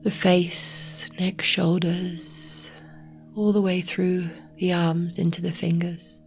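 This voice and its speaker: A woman leads a guided relaxation in a low, even voice. She speaks softly and slowly, often close to a whisper, leaving long pauses. Meditation music with singing bowls plays clearly behind her. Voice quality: soft, breathy, often near whisper. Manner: slow, soothing, with long pauses. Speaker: yoga nidra meditation guide.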